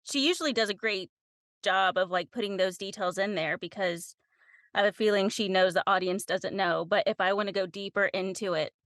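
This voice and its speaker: A woman's voice: mid-range voice, brisk, a bit bright, dry recording, clean studio sound. A woman with a very young-sounding voice, an audiobook narrator chatting informally as an interview guest and giggling often. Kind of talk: casual conversational interview.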